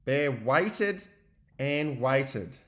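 A man giving an expressive, child-directed storybook read-aloud, like a teacher, with chatty asides. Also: medium pitch, extremely slow.

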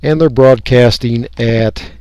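An American man giving a casual, unscripted software walkthrough, folksy and rambling as he thinks aloud. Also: mid-range voice, takes it slowly, clean tone. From United States. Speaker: radio hobbyist giving a software tutorial.

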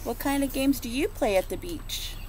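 A woman in her forties, a preschool teacher, reads a rhyming picture book aloud to children and stops to ask them gentle questions. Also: sits high, animated, slight background noise. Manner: slow rhythmic rhyme reading with gentle questions to children. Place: outdoors on a front porch. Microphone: phone or laptop camera at close range.

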